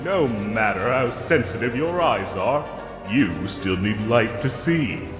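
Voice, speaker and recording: Casting: male actor as gallant, condescending knight for fantasy audio drama; grand archaic diction, unhurried delivery, music bed. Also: mid-range voice, lively intonation. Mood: haughty, commanding. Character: pompous, gallant male knight, condescending yet secretly soft-hearted. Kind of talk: scripted fantasy audio roleplay. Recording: echoey cave-like reverb, music underneath.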